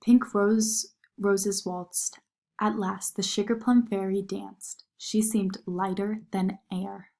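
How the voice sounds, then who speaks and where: Woman, storybook narrator, expressive read-aloud delivery, middle pitch. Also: slow pace, gravelly. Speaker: storyteller reading a children's book aloud.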